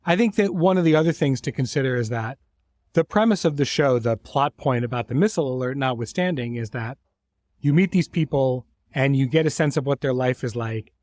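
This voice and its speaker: Male writer-creator, mid-pitched voice, closely recorded without room sound. Reflective, articulate podcast conversation, fond of imagery. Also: brisk, a little mellow, no echo. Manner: articulate, explanatory, uses vivid imagery.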